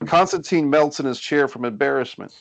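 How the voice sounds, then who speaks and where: Man, medium pitch, scripted narration, screenplay stage directions, table read. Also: brisk, gravelly. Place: indoors on a group video call.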